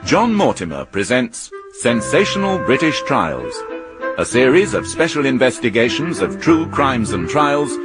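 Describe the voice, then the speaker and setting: A man working as a radio announcer, reading scripted credits and introductions in a formal, deliberate way. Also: medium pitch, big pitch swings. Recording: loud violin music behind voice. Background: loud violin music.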